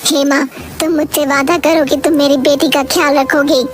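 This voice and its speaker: A woman voicing the vengeful ghost of a wronged young wife in an animated story, speaking low and heavy, with music behind. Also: moderate pace, close to an everyday voice. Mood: remorseful. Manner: grieving, accusing, low and heavy. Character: vengeful female ghost haunting a well.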